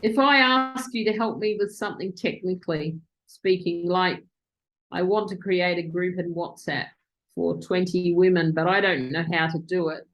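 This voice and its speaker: A woman interviewing virtual assistants on a video call, excitable and quick to speed up when she gets going. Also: fairly low pitch, highs cut off.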